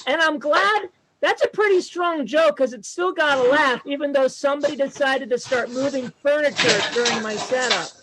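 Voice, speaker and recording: High-voiced man doing self-deprecating stand-up. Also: normal speed, noisy background. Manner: self-deprecating, deadpan joke delivery. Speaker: comedian. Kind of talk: stand-up comedy set.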